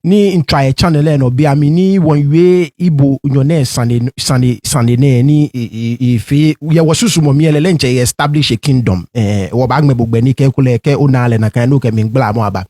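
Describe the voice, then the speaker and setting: A man asking a long interview question, hesitant with frequent pauses and restarts. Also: medium pitch, mellow, dry recording, studio quality. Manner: halting, many fillers and restarts.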